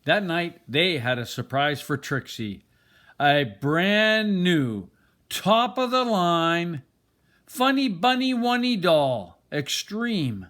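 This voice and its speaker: Slow, expressively swooping man reading a storybook. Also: a little high. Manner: deliberate, expressive storytelling with dramatic pauses. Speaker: storybook reader. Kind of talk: children's picture book read-aloud.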